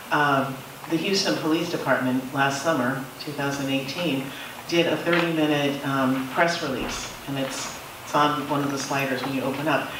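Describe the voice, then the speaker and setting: A middle-aged man speaking earnestly and haltingly as a panelist in a discussion. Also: mid-range voice. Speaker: panelist, healthcare worker. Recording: very echoey, distant, noisy room.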